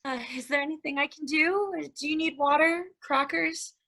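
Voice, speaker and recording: A woman acts out a panicked, devout female accomplice wracked with guilt. Her voice is very high. She speaks with agitated emphasis, in the manner of stage drama. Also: normal speed, bright, forceful tone. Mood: subdued, guilty. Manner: agitated, emphatic, repeating herself. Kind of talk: dramatic stage play reading.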